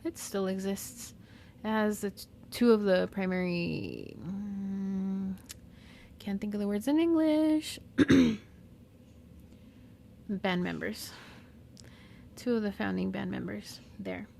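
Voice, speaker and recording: A woman with a Southern California accent whose first language is Spanish, talking unscripted and reflectively in a slow, pausing monologue as a podcast host. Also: medium pitch, animated, gravelly, mellow, a little hiss or hum. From Mexican-American. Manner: slow, reflective, with pauses and fillers.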